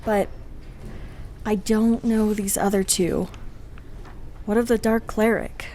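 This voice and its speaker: A woman's voice: mid-range voice, fairly even intonation, slow pace, mellow, a little hiss or hum. A woman playing a studious, determined and wry young researcher in a radio play. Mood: musing, wry. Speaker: voice actor in an audio drama. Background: background music.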